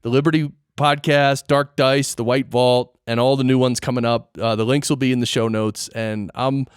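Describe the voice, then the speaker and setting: A man's voice: medium pitch, steady tone, normal speed, smooth, dry recording, clean studio sound. A man hosting a podcast in casual, upbeat interview chat. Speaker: podcast host.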